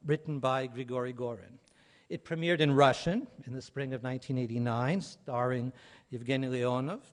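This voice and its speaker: Higher-pitched man giving a measured lecture. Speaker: academic conference speaker. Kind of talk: prepared lecture.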